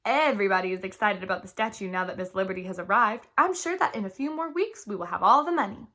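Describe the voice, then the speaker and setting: A woman's voice: medium pitch, animated, quick pace, bright, forceful tone. A woman voicing a proud, optimistic father in a children's storybook, with an assured manner. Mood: confident.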